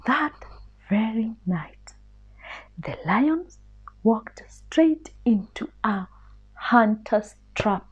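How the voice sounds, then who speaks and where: A woman with a fairly high voice reads to children. Her delivery is extremely slow and teacherly, with very animated pitch, captured on a slightly dull recording. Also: husky. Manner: deliberate, teacherly. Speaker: children's story reader. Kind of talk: expressive read-aloud storytelling for children.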